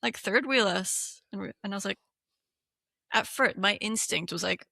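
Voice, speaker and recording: A woman's voice: medium pitch, moderate pace, vocal fry, sharp tone, no echo, clean studio sound. A woman in her thirties from Hong Kong co-hosting a podcast, chatting casually with lots of fillers. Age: 30s. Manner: chatty, many fillers, laughs often. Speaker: podcast co-host. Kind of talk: casual chat.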